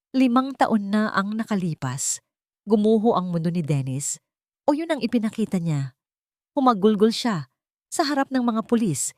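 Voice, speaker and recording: A woman's voice: medium pitch, no room sound, clean studio sound. A woman with a Filipino accent narrating a dramatic story as a scripted audiobook.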